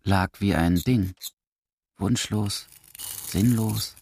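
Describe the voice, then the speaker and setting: Very deep, gravelly male narrator. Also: very slow, darkish tone. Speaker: narrator of a radio drama. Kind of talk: dramatic literary narration. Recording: clearly noisy recording with clicks.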